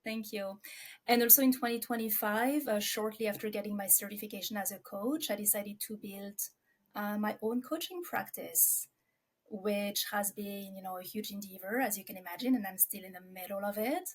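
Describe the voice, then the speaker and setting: A woman's voice: mid-range voice, moderate pace. French-accented woman in an interview. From France. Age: 40s. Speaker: coach, interview guest. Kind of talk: reflective interview conversation.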